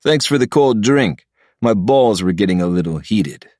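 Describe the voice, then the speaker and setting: A man's voice: fairly low pitch, lively intonation, normal speed, a little mellow, no echo, studio quality, voice pushed up, natural voice. A man voicing a cocky, crude young man who mocks others in a laid-back way. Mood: mocking. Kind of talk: audiobook character voice.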